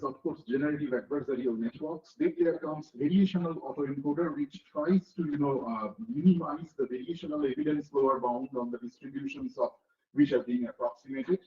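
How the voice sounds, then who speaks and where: Man, step-by-step lecture, roomy muffled sound. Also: fairly high pitch. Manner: explains step by step, asks listeners rhetorical checks. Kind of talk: technical lecture with classroom analogies. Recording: echoey, dull lo-fi hall recording.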